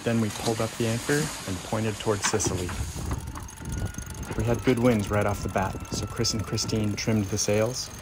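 A middle-aged man narrating his sailing trip in a calm, even, matter-of-fact voice-over. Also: lowish voice, moderate pace, heavy background noise.

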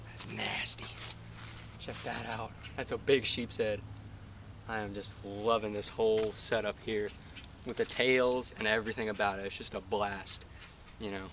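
A young man gushes to his camera, breathless and excited. His voice sits a little low, and it is picked up from several steps away by the camera mic. Plenty of outdoor noise hangs behind him. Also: vocal fry, distant mic. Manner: breathless, excited, laughing often. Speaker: fishing vlogger. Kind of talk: excited outdoor fishing commentary. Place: outdoors on a pond bank. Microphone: camera on a tripod some distance away.

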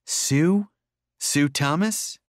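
A man's voice: on the high side, drawn-out words, no room sound, studio quality. A man playing a casual, easygoing man in a scripted textbook dialogue. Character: casual, easygoing man making small talk.

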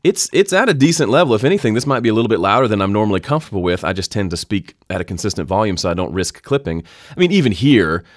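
A man in his thirties with a slightly nasal voice, explaining podcast audio steps in a friendly, even tone, recorded close on a studio mic in his home studio. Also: lowish voice, very fast, no room sound. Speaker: audio tutorial host. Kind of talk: screen-recorded software tutorial. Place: home studio with keyboards and guitars. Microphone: studio condenser mic close up.

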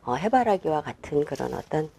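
Middle-aged woman teaching flower arranging, lowish voice, murmurs half-whispered asides while she works. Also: big pitch swings, gravelly, warm tone, slight background noise. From Korea. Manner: unhurried, talks while working, many fillers and half-whispered asides. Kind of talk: demonstration lesson while working. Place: indoor studio with a flower table.

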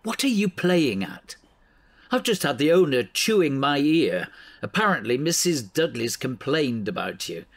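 Blunt, exasperated newspaper editor. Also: male voice, mid-range voice, big pitch swings, measured pace. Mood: angry, exasperated. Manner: scolding, blunt, authoritative. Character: exasperated, bossy male local newspaper editor. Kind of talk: audio drama acting.

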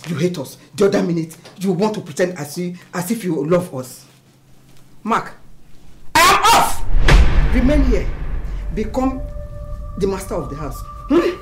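A woman's voice: lowish voice, animated, brisk, hardly any pauses, natural voice. An actress playing a wounded, proud middle-aged mother in a film melodrama, speaking emphatically. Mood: angry, defiant. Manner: emphatic, declamatory. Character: wounded, proud elder mother scolding her son. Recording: music under the dialogue.

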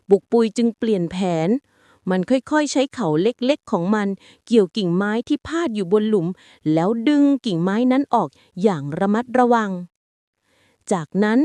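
A woman reading a bedtime story aloud in a slow, soothing way. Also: medium pitch, lively intonation, warm tone, no echo.

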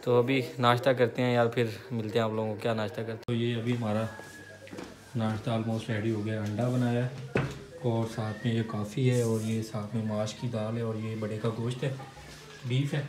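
A man's voice: fairly low pitch, level tone, moderate pace. Chatty man vlogging at arm's length. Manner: chatty, walking and talking to a handheld camera. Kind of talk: casual vlog chat. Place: city streets outdoors, then a flat indoors. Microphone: phone camera at arm's length.

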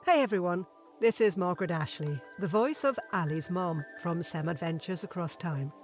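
A woman's voice: on the low side, moderate pace. A woman, a voice actress, giving a scripted promotional read. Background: music.

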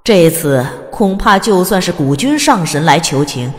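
A woman's voice: lowish voice, very animated, unhurried, faint music behind. A woman reading scripted narration of a fantasy audiobook in a dramatic storytelling style. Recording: long hall reverb.

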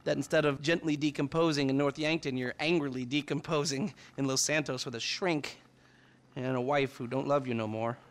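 A man's voice: mid-range voice, steady tone, a little fast, natural voice. An American actor in a video game playing a sardonic, wordy, foul-mouthed hacker in his forties. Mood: bitter, wry. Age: 40s. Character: bitter, foul-mouthed, nerdy middle-aged male hacker. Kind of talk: video game cutscene acting.

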